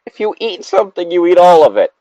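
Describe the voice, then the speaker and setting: A man's voice: high-pitched, very animated, fast talker, warm tone, no echo. A man co-hosting a casual story reading, drifting into tangents and exasperated, sweary asides. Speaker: co-host reading a story aloud with commentary. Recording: dull lo-fi microphone.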